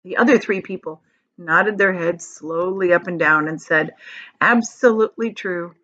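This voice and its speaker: A woman reading a children's story aloud as an expressive narrator, phrasing it deliberately. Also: on the low side. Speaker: storybook reader, teacher. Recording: slightly dull, lo-fi sound.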